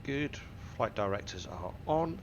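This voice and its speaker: A man's voice: lowish voice, very animated, unhurried, dull audio. A man with a stuffy nose from a cold, methodically talking through each cockpit setting in a flight simulator tutorial. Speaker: flight sim tutorial host. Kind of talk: step-by-step cockpit walkthrough. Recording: simulator engine and cabin noise behind. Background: aircraft simulator hum.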